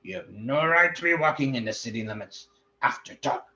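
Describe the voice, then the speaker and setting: A man voicing a fat, sneering male hobgoblin commander, speaking slowly and pompously with a thick accent. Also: medium pitch, expressive, pushed and bright. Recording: dull lo-fi recording.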